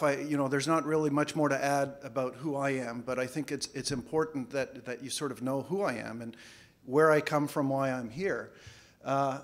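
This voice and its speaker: A man addresses a conference audience, casual and a little halting. He often doubles his words. English is not his first language. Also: on the high side. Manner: casual, repeats words while speaking. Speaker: conference speaker, financial planner. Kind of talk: conference presentation. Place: conference hall.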